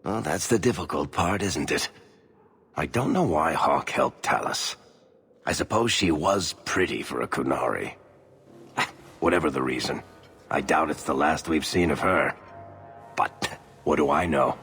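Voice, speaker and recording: An actor playing a wry, roguish storyteller with a rough, husky voice in a video game. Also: male voice, rumbling low, lively intonation, unhurried, pauses often, fairly bright tone, full character voice. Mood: sly, amused. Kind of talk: video game cutscene acting. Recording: long reverberant hall.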